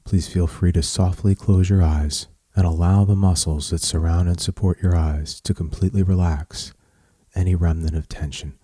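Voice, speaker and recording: A man leading a guided meditation in a measured, soothing manner, with pauses between short phrases. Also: low-pitched, steady tone, warm tone, slightly rough, no room sound, clean studio sound.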